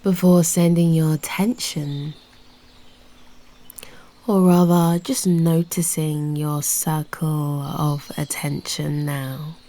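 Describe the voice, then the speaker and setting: A British woman guiding a meditation in a soft, breathy, near-whispered voice, soothing and unhurried, leaving long pauses. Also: low-pitched, flat delivery. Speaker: meditation guide and host. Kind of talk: guided meditation narration.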